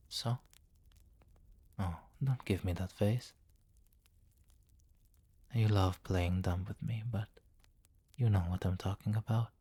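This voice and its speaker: A man's voice: lowish voice, warm tone, slightly rough. A man playing a commanding, possessive vampire king in an intimate, teasing ASMR roleplay. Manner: slow, teasing, condescending, with murmured endearments.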